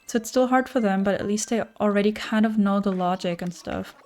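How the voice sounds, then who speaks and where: A woman's voice: medium pitch, brisk, a little mellow. A young woman with a German accent, chatting casually on a livestream and answering viewer messages with frequent fillers. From German-speaking. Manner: chatty, thinks aloud with fillers. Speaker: streamer.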